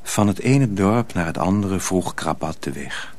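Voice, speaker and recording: A man's voice: low-pitched, lively intonation, unhurried, darkish tone. A man narrating a radio drama as a scripted storyteller.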